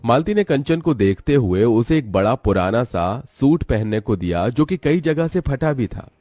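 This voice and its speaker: A man's voice: mid-range voice, lively intonation, moderate pace, dark tone, dry recording. A man narrating a scripted, dramatic story as a storyteller.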